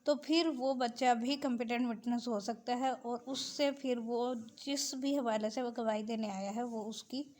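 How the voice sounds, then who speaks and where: A woman's voice: a little high, fairly even intonation, a little slow, darkish tone. A woman with a Pakistani accent giving an explanatory lesson as a lecturer, reading out phrases and explaining them, mixing in English terms. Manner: mixes Urdu with English terms. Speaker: law lecturer.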